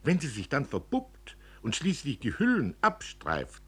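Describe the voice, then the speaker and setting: A man reading the part of a tender, solemn father who muses gently and philosophically about death in a literary story. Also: mid-range voice, wildly swooping pitch, unhurried, breathy edge, pitched above the performer's own voice, full character voice. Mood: rapturous, earnest. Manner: earnest, murmuring, philosophical.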